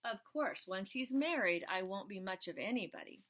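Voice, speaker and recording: A woman's voice: medium pitch, animated, moderate pace, fairly bright tone. A woman voicing a chatty little girl, the king's young cousin who loves sweets. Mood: proud. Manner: childlike, chatty.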